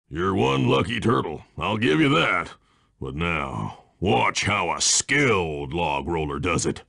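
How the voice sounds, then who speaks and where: An actor voicing a boastful, bullying old-time lumberjack villain in a cartoon game, raspy and taunting with a folksy rustic North American drawl. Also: male voice, low voice, pushed and bright, no echo, studio quality, full character voice. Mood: scornful, competitive. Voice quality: gravelly, raspy.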